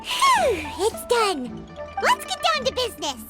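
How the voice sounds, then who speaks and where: A woman's voice: very high voice, wildly swooping pitch, slow, full character voice. A woman voicing a tiny, childlike fairy companion in a video game, perky, chatty and eager to help. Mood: cheerful. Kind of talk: video game character acting. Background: music.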